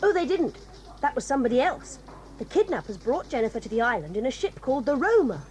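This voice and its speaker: A brisk, plucky young girl. Her voice is high and lively. Faint hiss sits under her.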